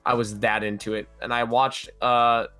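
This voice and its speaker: A young man talks as a podcast guest, his voice bright and sharp but worn hoarse. He tells stories with animated, profane energy. Faint music plays behind him. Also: mid-range voice, moderate pace. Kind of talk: casual chat.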